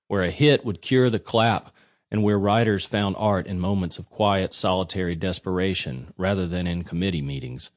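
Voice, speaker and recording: A man narrating a nonfiction audiobook from a prepared script. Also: low voice.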